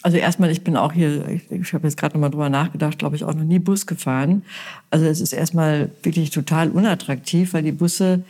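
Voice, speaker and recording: A woman's voice: deep voice, steady tone, a little fast, dark tone, husky. Woman candidate from Westphalia, earnest, hesitant, lots of fillers. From Westphalia, Germany. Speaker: mayoral candidate. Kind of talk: political interview answers.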